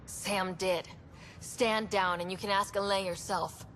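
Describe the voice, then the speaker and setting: An actress voicing a calm, reassuring young woman explorer and leader in a video game. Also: mid-range voice, a little slow, a little hiss or hum, lightly acted. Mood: steady.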